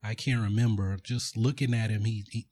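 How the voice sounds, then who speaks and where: A man's voice: fairly low pitch, fairly even intonation, moderate pace, mellow. A man hosting a podcast, casually retelling a film plot in a rambling way with lots of fillers and restarts. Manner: rambling, filler-heavy storytelling. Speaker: podcast host.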